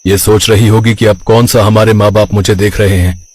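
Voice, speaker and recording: A stern dead father in a kids' cartoon dream played by a man. He has a low, husky voice and a scolding, reproachful manner. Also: little pitch movement, moderate pace, darkish tone. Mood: scornful. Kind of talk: children's cartoon story acting.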